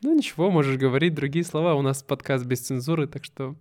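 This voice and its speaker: A man hosting a casual podcast, relaxed and agreeable, chatting easily with his guest. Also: medium pitch, quick pace, soft background music. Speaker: podcast host.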